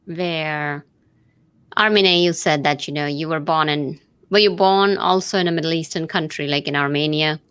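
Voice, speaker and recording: A woman's voice: low voice, moderate pace, a bit bright, smooth. A woman hosting an interview, asking her guests encouraging questions. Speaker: host.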